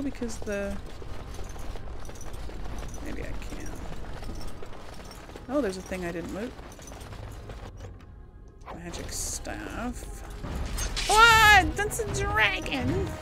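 A woman giving casual gameplay commentary, thinking aloud in trailing fragments over game music. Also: mid-range voice. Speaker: gameplay host.

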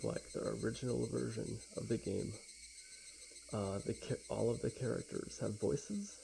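Gravelly young man, quiet game streamer. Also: on the low side, slight background noise, poor audio. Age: young adult. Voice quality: gravelly, quiet, often breathy. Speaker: let's play streamer. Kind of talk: casual gameplay chat and soft reading aloud of game text. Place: small indoor room with blinds.

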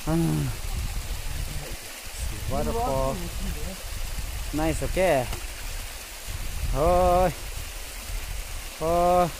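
High-voiced man vlogging on a forest hike. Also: noisy background. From India. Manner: excited exclamations, halting simple phrasing. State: tired and thirsty from hiking. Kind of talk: casual vlog narration while hiking. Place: forest trail by a waterfall, outdoors. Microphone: phone held at arm's length.

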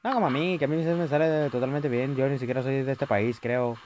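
A young man with a Chilean accent commentating a horror game, yelling at jump scares and rambling between them. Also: mid-range voice, fairly even intonation, a little fast, slight background noise. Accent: Chilean Spanish. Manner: jumpy, shouting at scares, rambling asides. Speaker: gaming youtuber. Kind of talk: let's play commentary.

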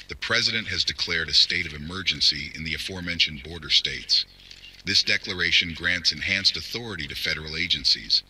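A solemn government minister played by a man delivers a formal national address in a deep, resolute voice. The tone is intensely forceful and oratorical, with noise behind it. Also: low-quality recording. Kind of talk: formal scripted televised address.

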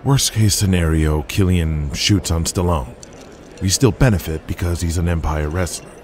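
This is a ruthless, domineering wrestling promoter, played by a man in an audio drama. He talks in a cold, controlling, lecturing way, with a very deep voice. Faint noise sits under the recording. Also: normal speed, a little mellow, lightly acted. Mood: scheming.

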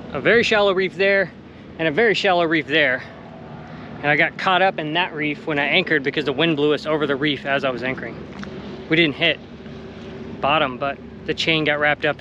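Casual male sailing vlogger, slightly higher voice, laid-back and plain-spoken, recorded on deck with clear wind noise. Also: dull audio. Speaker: solo sailor and vlogger. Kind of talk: casual vlog to camera. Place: outdoors on a sailboat deck. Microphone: action camera at close range. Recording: wind noise on camera mic. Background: wind and water.

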